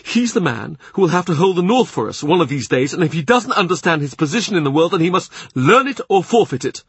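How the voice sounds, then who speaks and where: A man voicing a domineering, blustering powerful cardinal, husky and sarcastic. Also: on the high side, sing-song, fast, no echo, voice pushed up, exaggerated voice. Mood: indignant. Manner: forceful, imperious, sarcastic.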